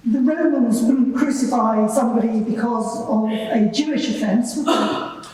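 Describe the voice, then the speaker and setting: A woman's voice: fairly high pitch, a little slow, a little mellow, lightly acted. A woman playing a composed cross-examining lawyer, putting formal, pointed questions in a courtroom. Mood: probing. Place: large reverberant courtroom. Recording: very echoey hall, distant mic.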